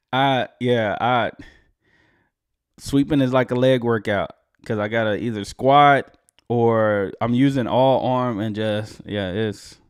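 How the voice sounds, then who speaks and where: A man hosting a reaction video, talking in a relaxed, chatty way and chuckling between remarks. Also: medium pitch, slow pace, clean tone, no echo, studio quality. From United States. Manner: laid-back, chuckles often. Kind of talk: casual commentary.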